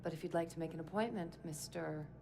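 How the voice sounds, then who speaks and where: A courteous, formal female receptionist from a video game. Her voice is a little low with noticeable fry, over clear background noise. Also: fast. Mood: polite, brisk. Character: polite, businesslike female office receptionist. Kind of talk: video game cutscene acting.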